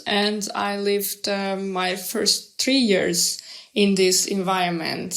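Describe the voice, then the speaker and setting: Hesitant woman in her thirties. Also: mid-range voice. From Hungary. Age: 30s. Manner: hesitant, many "um" and "uh" pauses. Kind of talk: interview answers.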